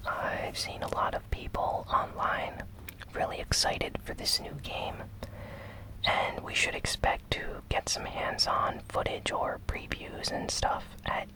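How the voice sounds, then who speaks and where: A host whispering a gaming news recap in a gentle, deliberate, breathy ASMR style. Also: rough recording. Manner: slow, gentle, deliberate delivery with short phrases.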